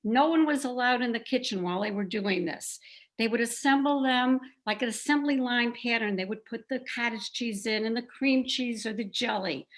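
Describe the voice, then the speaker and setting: A woman with a fairly high voice tells a personal story from her family life. Her delivery is conversational and confiding, like a prepared piece read to a group. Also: moderate pace. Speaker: participant sharing a family memory.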